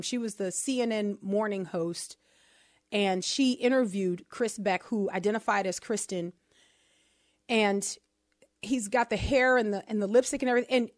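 A woman's voice: mid-range voice, fairly bright tone, dry recording, clean studio sound. A woman from New Orleans with a local accent, co-hosting a casual commentary chat and piling up emphatic rhetorical questions. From New Orleans, USA. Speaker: podcast co-host.

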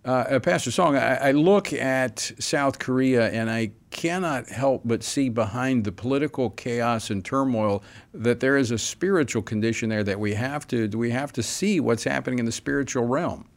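A man hosting a broadcast interview, asking earnest, measured questions with small restarts. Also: mid-range voice. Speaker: radio talk show host.

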